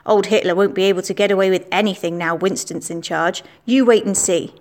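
A woman's voice: mid-range voice, fairly even intonation, brisk, darkish tone, lightly acted. A woman voicing a confident, patriotic older uncle.

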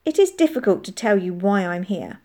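A woman's voice: medium pitch, lively intonation, fairly quick, warm tone, lightly acted. A woman voicing a polite, earnest soldier who speaks in careful, formal phrases in an audiobook. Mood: guarded. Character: young soldier hiding in a barn, polite, guarded, earnest. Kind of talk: audiobook character voice.

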